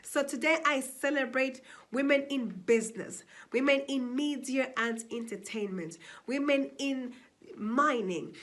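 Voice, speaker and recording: A woman's voice: high-pitched, fairly bright tone. A woman from Zambia giving a motivational address, emphatic and asking rhetorical questions she answers herself. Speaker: summit speaker.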